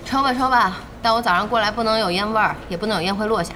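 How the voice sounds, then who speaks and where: A woman playing a bossy, outspoken internet-cafe owner and passionate gaming fan in an audio drama, with a brisk manner. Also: mid-range voice, background noise, natural voice. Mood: brisk, businesslike. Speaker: voice actor in a radio drama. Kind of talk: Chinese audio drama acting.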